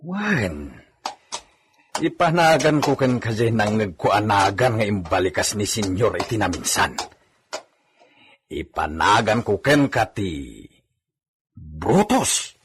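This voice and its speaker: A man's voice: fairly low pitch, sing-song, slow pace, long pauses, slight background noise. A man playing a cheeky, boastful village horseman with an Ilocano accent in a comedy radio drama, chuckling and talking to himself. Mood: pleased, musing.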